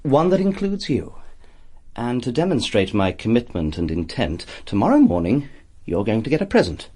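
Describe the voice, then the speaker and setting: An actor playing a cynical, possessive, menacing thief in a radio drama, with a husky voice and sardonic, insistent delivery. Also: male voice, mid-range voice, huge pitch swings, moderate pace, mellow. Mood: quietly menacing.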